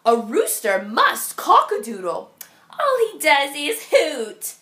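Woman doing a high, fussy storybook hen. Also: huge pitch swings, slow pace, a bit bright, reverberant, heavily acted. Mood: scornful. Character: bossy, fussy female hens in a farmyard.

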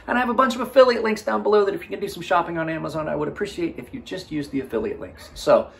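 A man in his forties with a slightly husky voice gives a casual, rambling product review, often repeating words and backtracking. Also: sits high, very fast. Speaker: host of knife review channel.

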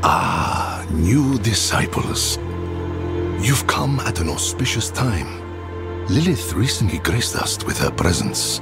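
A fervent cult gatekeeper in a fantasy game, low and creaky. He is slow, grandiose and officious. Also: sing-song, music in the background, exaggerated voice. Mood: reverent. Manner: grandiose, reverent. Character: fervent male cultist gatekeeper, reverent and officious. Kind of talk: video game character dialogue.

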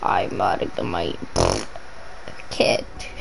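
A woman's voice: very deep voice, level tone, slow pace, gravelly, background noise. A woman doing casual, rambling gameplay commentary as a YouTuber, playful and humming nonsense syllables. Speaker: gaming YouTuber.

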